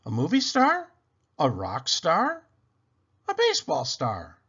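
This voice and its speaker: A man's voice: fairly high pitch, wildly swooping pitch, slow pace, pushed and bright, husky, muffled recording, voice pushed up, heavily acted. A man voicing a cheerful, literal-minded housekeeper woman from a children's storybook, earnest and exclamatory. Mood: earnest, pondering.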